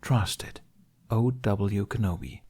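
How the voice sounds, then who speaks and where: A man voicing a wise, gentle older Jedi master in a story, measured and counselling. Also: lowish voice, husky. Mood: solemn. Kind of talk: storybook character voice.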